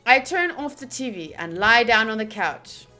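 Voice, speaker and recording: A woman streamer reading a visual novel's narration aloud during a playthrough, with expressive, gushing asides. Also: fairly high pitch, normal speed, faint music behind. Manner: expressive, gushing asides between read passages.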